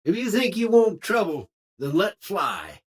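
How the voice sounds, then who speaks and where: An actor voicing a folksy, old-time cowboy security robot for a video game, with an American Western drawl and colorful frontier slang. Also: male voice, high-pitched, wildly swooping pitch, measured pace, breathy edge, full character voice. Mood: menacing. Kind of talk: video game character voice lines.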